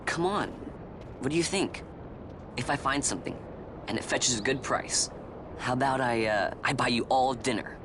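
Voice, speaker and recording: A man's voice: mid-range voice, moderate pace, pauses often, noisy background, close to an everyday voice. A man playing a stubborn, restless teenage boy in a video game, with an earnest, youthful delivery. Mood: eager, stubborn. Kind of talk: naturalistic game voice acting.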